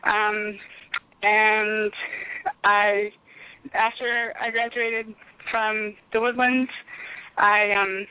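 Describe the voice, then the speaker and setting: A woman's voice: mid-range voice, level tone, drawn-out words, pauses often, clear, resonant tone, no echo. A Texan woman in her thirties, a business owner, chatting as an interview guest with many ums. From Texas, United States. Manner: chatty storyteller, frequent ums. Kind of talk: casual interview chat. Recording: tinny phone line, dry.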